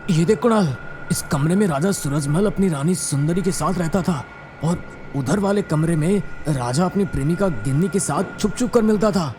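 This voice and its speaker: A man playing an excitable young male traveller in a cartoon horror story, speaking in a dramatic, exclamatory way. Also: a little high, normal speed, a little hiss or hum, natural voice. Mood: excited. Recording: music under the voice.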